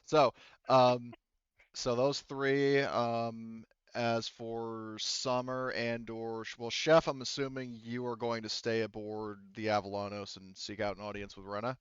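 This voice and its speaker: A man's voice: medium pitch, little pitch movement, smooth, no echo, muffled recording. A man game-mastering a tabletop roleplaying game in a casual, rambling way, often correcting himself midway through a thought. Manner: halting, rambling, self-correcting. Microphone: online voice call.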